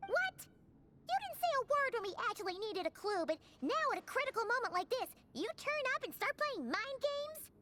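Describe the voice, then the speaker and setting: A woman voicing a tiny, excitable fairy companion in a video game, squeaky and childlike, with bubbly exclamatory chatter. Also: fairly quick, noisy background. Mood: indignant. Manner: bubbly, exclamatory, refers to herself in third person. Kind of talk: animated video game voice acting.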